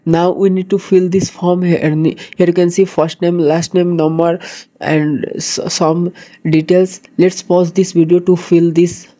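A man from Nepal with a Nepali accent narrates a screen tutorial haltingly, often repeating words. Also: high-pitched, little pitch movement, mellow. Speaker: tutorial host. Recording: dull, muffled lo-fi microphone.

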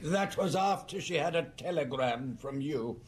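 Prim, indignant steward for old radio drama, fairly high male voice. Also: animated, unhurried.

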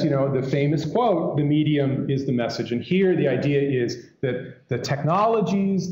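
Male keynote speaker, mellow voice, conversational and digressive, recorded in an echoey hall. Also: mid-range voice. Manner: conversational, digressive, wry asides. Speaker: keynote speaker, academic lecturer. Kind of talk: conference lecture to an audience. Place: indoor lecture hall. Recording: echoey room, dull muffled sound.

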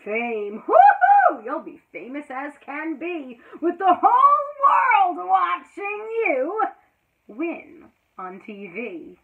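A teacher in her thirties reads a children's picture book aloud, her high voice leaping and dipping with every line. She lingers slowly over the words, dropping to a hush and then bursting out loud. The sound comes through thin and tinny, like a phone line. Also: wildly swooping pitch. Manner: dramatic, swings between hushed and loud exclamations. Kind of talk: expressive read-aloud storytelling for children. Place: small indoor room before a green backdrop. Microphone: built-in camera mic at close range.

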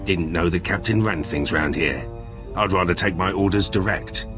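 An actor playing a stubborn, confident demolitions soldier in a video game, talking in curt, blunt phrases. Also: male voice, low voice, moderate pace, music in the background, lightly acted. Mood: brisk, blunt. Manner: curt, gruff-mannered, blunt.

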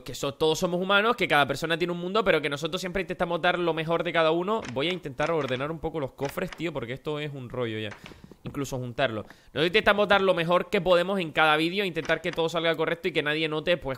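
A Spanish man from Spain talks quickly and brightly over his gameplay. His voice is nasal and a bit wrecked, and he chats straight at his viewers with plenty of energy. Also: on the high side. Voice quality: nasal, worn-out voice. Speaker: gaming youtuber. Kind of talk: casual gameplay commentary.

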